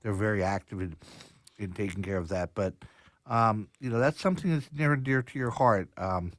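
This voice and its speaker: Low-voiced radio host. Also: male voice, normal speed, no room sound, studio quality. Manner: friendly, rambling questions with fillers. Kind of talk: radio interview.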